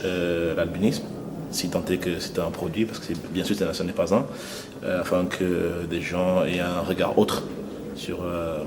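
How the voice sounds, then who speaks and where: A man from Cameroon with a very low voice speaks in an interview. He talks earnestly, halting with fillers as he searches for words. Steady noise sits behind him. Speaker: fashion model. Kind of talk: testimonial interview.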